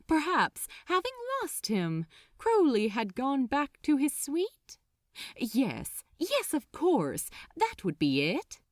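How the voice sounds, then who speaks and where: A woman's voice: high-pitched, wildly swooping pitch, slow, dry recording, studio quality, voice pushed up, exaggerated voice. A woman voicing an anxious, polite male angel with a prim, flustered, old-fashioned manner. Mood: worried musing.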